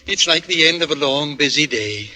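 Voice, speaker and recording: An actor playing a gentle, lonely old lodger in a 1940s radio drama, polite, hesitant and wordy. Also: male voice, a little high, animated, moderate pace, heavily acted. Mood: genial, resigned. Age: older. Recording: noisy old radio broadcast recording.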